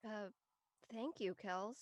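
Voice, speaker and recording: A woman's voice: mid-range voice, slow pace, no echo, lightly acted. A young woman acting a sincere, conversational college student in an online drama. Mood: hurt, earnest. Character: earnest young Filipino-American college woman, frank among friends.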